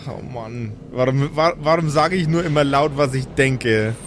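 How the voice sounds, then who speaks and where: A man's voice: medium pitch, moderate pace, slight background noise. A man as game master, improvising narration and table talk for a role-playing game.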